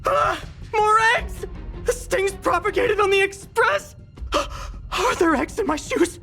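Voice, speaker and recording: Young game heroine, high voice, super expressive n flustered, bit of music. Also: female voice, slow pace, exaggerated voice. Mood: alarmed. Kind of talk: video game voice acting.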